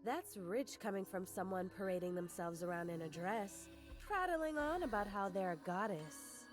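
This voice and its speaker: A woman's voice: medium pitch, expressive, moderate pace, soft background music, lightly acted. A woman voicing a brash, scornful young soldier in a game, blunt and mocking. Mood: scornful, cocky.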